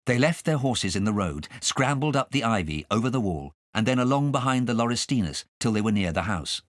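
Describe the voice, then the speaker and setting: A man reading a children's story aloud as an expressive audiobook narrator. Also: medium pitch, fairly quick, dry recording, clean studio sound.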